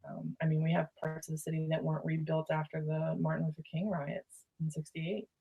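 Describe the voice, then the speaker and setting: Low-voiced Baltimore woman telling stories. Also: brisk, gravelly, slightly rough, lo-fi sound. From Baltimore, USA. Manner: lively storyteller who acts out quoted voices. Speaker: podcast guest. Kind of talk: casual podcast chat.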